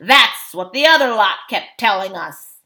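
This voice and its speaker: A woman voicing a surly, defiant dwarf man in a storybook, with a growling, mocking, rough colloquial delivery. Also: medium pitch, expressive, unhurried, pushed and bright. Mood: jeering.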